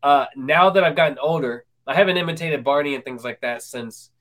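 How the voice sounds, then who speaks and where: Host, man in his twenties, a bit higher-pitched, hesitant, many fillers and restarts. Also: brisk, fairly bright tone. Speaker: podcast host. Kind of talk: casual interview chat.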